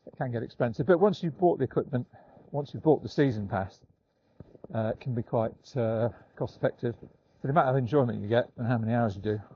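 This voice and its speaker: An older man talks in a husky voice, rambling casually and without hurry as he walks outside. His delivery is chatty, with halting gaps between phrases. The sound is dry and a little muffled. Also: mid-range voice, no echo. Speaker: vlogger. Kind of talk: casual rambling vlog talk while walking. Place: outdoors, walking in snowy woods.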